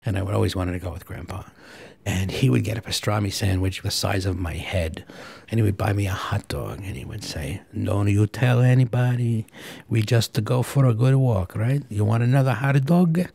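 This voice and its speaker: Man reminiscing about family, kinda low raspy voice, dark tone. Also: normal speed, no room sound. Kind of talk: personal family storytelling.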